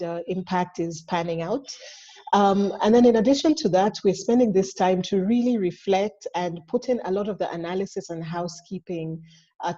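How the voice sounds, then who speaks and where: A woman speaks in a measured, articulate way on a webinar panel. Her voice is a little low and warm. The call audio sounds dull and lo-fi. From Kenya, Namibia and Somalia. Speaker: lawyer and investor.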